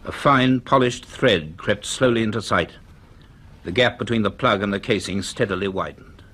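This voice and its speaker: A man giving deliberate, suspenseful scripted narration for a radio drama. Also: lowish voice, a bit bright.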